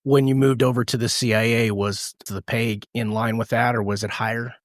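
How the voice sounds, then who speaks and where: A man hosting a podcast, asking questions in a hesitant way that trails off softly. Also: mid-range voice, fairly even intonation, moderate pace, no room sound, studio quality. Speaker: podcast host. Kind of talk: interview questions.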